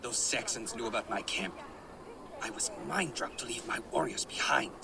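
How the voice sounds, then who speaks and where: A man's voice: mid-range voice, animated, moderate pace, vocal fry. A man playing a cocky, bawdy young Danish captain in a video game, ambient noise behind. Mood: urgent. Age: young adult. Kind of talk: dramatic video game dialogue.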